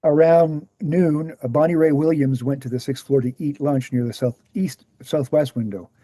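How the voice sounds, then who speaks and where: A man acts out an earnest author lecturing at a table read. His voice is very dark and relaxed, pitched a little high for a man. He explains things in a measured, emphatic way, in a dry close recording. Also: no room sound, lightly acted. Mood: measured, factual.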